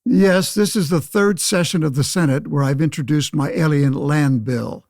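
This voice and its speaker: A man acts out a 1911 senator for a radio play, a self-righteous windbag with a rather high voice. He orates in formal, old-fashioned phrases, pompous and sure of himself. The sound is very dry. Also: moderate pace, a little mellow, no echo, close to an everyday voice. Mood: proud, assured. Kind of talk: pseudo-radio play interview and oratory.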